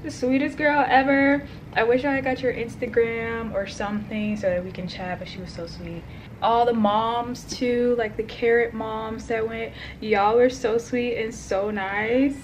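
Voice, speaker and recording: A young woman talks straight into her phone camera, her voice raspy and worn hoarse from the night before. She gushes along casually, stringing thoughts together with "like" and "literally." A steady hum of ambient noise sits plainly under the recording. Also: fairly high pitch, measured pace, rough recording. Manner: gushing, chatty, peppered with "like" and "literally". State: hoarse after a concert. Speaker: vlogger. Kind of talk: casual vlog chat to camera. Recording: clear background ambience noise.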